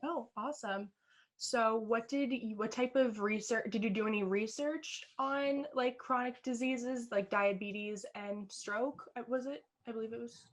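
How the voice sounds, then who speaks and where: A woman's voice: a little high, unhurried. Woman, podcast interviewer, casual, loosely worded questions.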